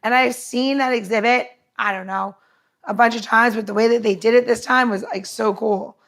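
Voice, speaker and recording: Casual, higher-voiced woman podcast co-host. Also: steady tone, moderate pace, a bit bright. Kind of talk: casual chat.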